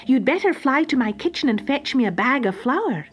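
A woman's voice: sits high, very animated, normal speed, music in the background, pitched above the performer's own voice. A woman voicing a clever young woman baker who fusses and schemes. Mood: coaxing. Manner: bright, fussing, sly.

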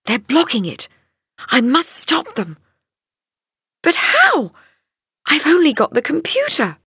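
An earnest, anxious young heroine of an audio story, acted by a woman. Her high voice swoops expressively, with a breathy, husky edge. She takes her words slowly and deliberately. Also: wildly swooping pitch, bright, forceful tone, no room sound, clean studio sound, full character voice. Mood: worried. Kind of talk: dramatised audiobook acting.

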